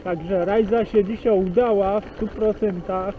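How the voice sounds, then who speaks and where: Slow-talking Silesian man on a bike ride. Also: sits high, phone-quality sound, a little hiss or hum. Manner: slow, rambling, relaxed chat with pauses. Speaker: cycling vlogger. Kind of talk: casual spoken commentary while riding. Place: outdoors on a bike on rural roads and forest tracks.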